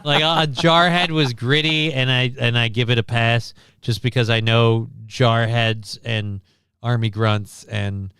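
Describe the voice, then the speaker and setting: A man's voice: mid-range voice, flat delivery, measured pace, fairly bright tone, clear, resonant tone, no room sound, clean studio sound. A man hosting a livestream, giving casual, profane movie commentary in a blunt, sarcastic, ranting manner. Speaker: livestream host.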